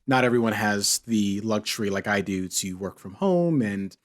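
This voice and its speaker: A man's voice: on the low side, lively intonation, moderate pace. A man hosting a casual podcast chat in a playful, teasing manner. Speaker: podcast host.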